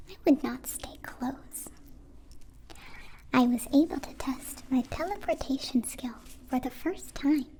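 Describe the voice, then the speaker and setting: A woman voicing a gentle, curious, naive alien in a soft whispered ASMR roleplay, with halting, formal, literal phrasing. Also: sits high, slight background noise. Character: gentle, curious, naive captive-born alien, newly befriended.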